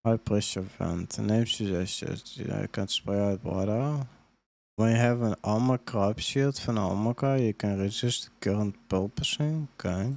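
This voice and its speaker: A man's voice: on the low side, normal speed, gravelly, a little mellow. A man giving casual live gameplay commentary as a streamer, thinking aloud and reading on-screen text and chat. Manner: thinks aloud, questioning himself while playing. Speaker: game streamer.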